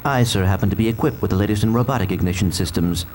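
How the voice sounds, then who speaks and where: An actor playing a small, polite robot in a video game, speaking formally and articulately. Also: male voice, lowish voice, rapid-fire, darkish tone, a little hiss or hum, close to an everyday voice. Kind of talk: video game cutscene acting.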